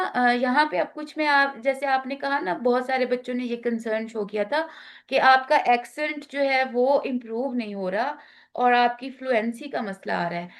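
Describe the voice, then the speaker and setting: A woman with a Pakistani accent teaching an online class, talking slowly with many fillers and switching into English phrases. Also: high-pitched. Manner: slow, halting, many fillers, mixing in English.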